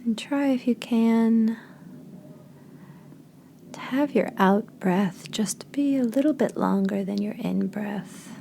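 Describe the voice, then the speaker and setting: A woman guides a meditation in a dark, relaxed voice with plenty of vocal fry. Her delivery is soft and breathy, edging toward a whisper. She moves very slowly, leaving space between gentle, short phrases. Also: on the high side, a little hiss or hum.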